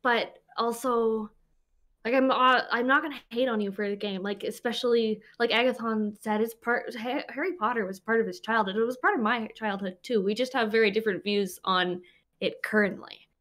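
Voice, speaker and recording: A woman's voice: on the high side, fairly even intonation, moderate pace, fairly bright tone. A woman speaking as a panelist and streamer in a casual debate, hesitant with many restarts.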